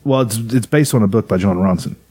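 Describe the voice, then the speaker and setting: A man co-hosting a casual podcast chat, loud and joking, full of swearing and riffs. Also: on the low side, brisk, slightly rough. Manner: loud, joking, profane, riffing. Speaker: podcast co-host.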